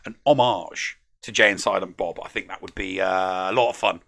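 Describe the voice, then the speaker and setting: A man's voice: low voice, normal speed, gravelly, bright, forceful tone, no echo, clean studio sound. A man hosting a podcast in casual live-stream chat, jokey, laughing often and repeating words when he gets going. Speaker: podcast host.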